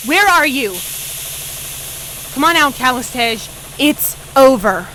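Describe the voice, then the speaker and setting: A defiant pony mare played by an actress leads this audio drama with a fairly high female voice. She is determined and foul-mouthed, with dramatic delivery. Faint noise sits behind her. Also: animated, takes it slowly, pushed and bright, full character voice, low-quality recording. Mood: commanding.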